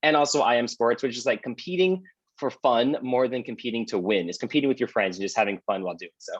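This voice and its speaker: Fast-talking young man on a dull call. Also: mid-range voice, breathy edge, no room sound. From Long Beach, California. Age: young adult. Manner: chatty, rambling with fillers. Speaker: student panelist. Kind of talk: casual Q and A. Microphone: video call. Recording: dry, dull lo-fi call audio.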